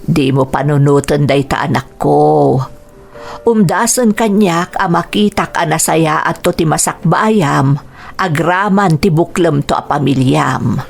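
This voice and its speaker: A woman's voice: low voice, a little slow, vocal fry, faint music behind. A woman playing a loving, self-sacrificing mother of a grown son in a radio drama, tender and earnest. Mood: reassuring, devoted.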